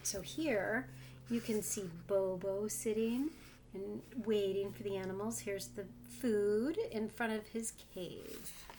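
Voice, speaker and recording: A woman reading a picture book aloud to children, expressive, with gently explanatory asides. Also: medium pitch, slow, a little hiss or hum. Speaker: storybook reader for children.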